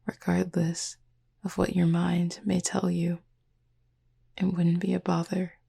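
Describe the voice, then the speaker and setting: A calm cupid roommate performed by a woman murmurs in a soft, mellow half-whisper full of heavy creak. The delivery is slow and formal, proud yet caring and gently teasing, made for a roleplay audio that lulls a listener to sleep. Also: on the low side, heavily acted. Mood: soothing, reassuring. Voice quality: breathy, husky half-whisper.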